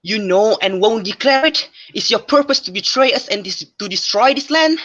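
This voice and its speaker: A proud ancient Greek king played by a young male student actor with a forceful, sharp-edged voice. He is suspicious and quick to anger, in the manner of amateur radio drama. The voice sits a little high for a man, and the recording is dull and lo-fi. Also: lively intonation, no room sound. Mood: angry, accusing. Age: young adult.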